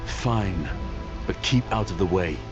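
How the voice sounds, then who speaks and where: A man playing a gruff, resolute northern sworn brother in a video game, speaking in a terse, measured way. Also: sits low. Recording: loud music behind.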